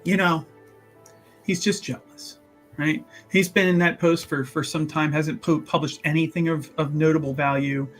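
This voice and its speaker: A man's voice: fairly high pitch, fairly even intonation, moderate pace, soft background music, natural voice. A man playing a self-important, zealous scholar in a tabletop game, speaking emphatically and repeating himself as he rallies a devoted student. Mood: boastful, defiant.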